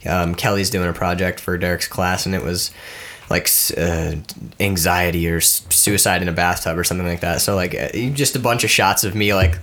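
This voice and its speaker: A man's voice: low-pitched, fairly even intonation, fairly quick, fairly bright tone. A young man with a congested, stuffed-up voice, co-hosting a casual podcast and rambling through a story with laughs. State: congested from a cold. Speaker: podcast co-host. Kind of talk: casual chat.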